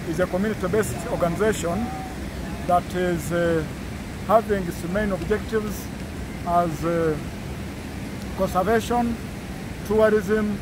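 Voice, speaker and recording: Halting man, noisy riverside. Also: high-pitched. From Uganda. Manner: halting, many "uh" fillers, formal wording. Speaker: executive director of a community conservation group. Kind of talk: on-site guide talk to camera. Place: outdoors by a river in green hills. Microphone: camera phone at close range. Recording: loud rushing water and outdoor noise.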